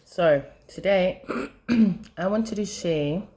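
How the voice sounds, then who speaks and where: A South African woman venting about her drive, with frequent throat clearing as she gets over a sinus attack. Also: on the low side, drawn-out words, warm tone. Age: adult mother of a schoolchild. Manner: frustrated, passionate, many fillers. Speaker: vlogger. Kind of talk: casual to-camera rant.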